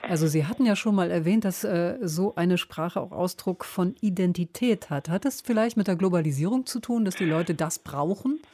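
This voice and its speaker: A woman's voice: lowish voice, normal speed, hardly any pauses, mellow, no echo. Berlin-accented woman hosting radio. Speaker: radio host. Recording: dry studio.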